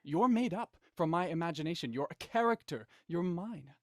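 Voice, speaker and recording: An actor playing a smug, menacing villain in an audio drama, mocking, theatrical and condescending in manner. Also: male voice, fairly high pitch, animated, moderate pace, dry recording, studio quality, close to an everyday voice. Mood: cruel, commanding. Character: smug, menacing male villain, cold culprit and puppet-master writer.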